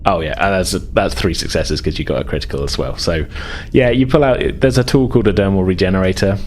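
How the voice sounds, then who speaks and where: A man acting as game master, narrating a tabletop game casually in a halting, explanatory way. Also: sits low, a little mellow, a little hiss or hum.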